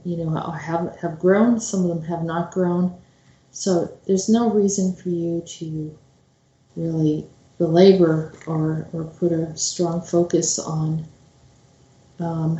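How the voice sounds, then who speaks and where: A woman's voice: lowish voice, mellow. A woman host talking informally in a hesitant, rambling way, with a roomy, echoing sound. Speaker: show host and author. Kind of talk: informal spoken commentary.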